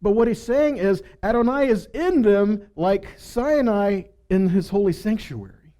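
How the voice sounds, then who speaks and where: Male preacher, mellow tone with very lively intonation, informal teaching style built on questions to the congregation. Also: sits high, a little slow. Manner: animated, rhetorical questions and check-in tags like "right?". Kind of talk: conversational sermon teaching.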